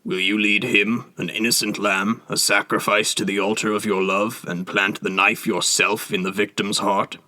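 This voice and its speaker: Cold, threatening noble lord in a storybook , read by a man: low and sharp. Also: level tone, moderate pace, few pauses, no room sound. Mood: coldly menacing. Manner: stern, formal, threatening. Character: cold, menacing male nobleman, adult.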